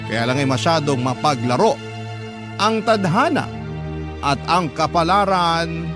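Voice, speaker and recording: Guy reading a drama letter, fairly high voice, heavy music bed underneath. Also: measured pace. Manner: expressive, indignant. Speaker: narrator reading a letter to the host. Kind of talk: dramatized storytelling narration.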